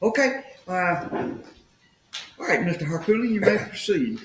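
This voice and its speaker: A woman's voice: on the low side, sing-song, measured pace. A woman judge presiding over a hearing, hesitant and checking whether the microphone works. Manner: hesitant, asks about the mic. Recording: echoey courtroom mic with faint noise.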